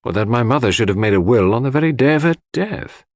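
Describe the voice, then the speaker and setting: A man voices a polite gentleman of the house in an audiobook mystery. He is anxious and easily bewildered, with animated swings in pitch and a dry, close sound. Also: fast, no echo. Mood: bewildered, shaken.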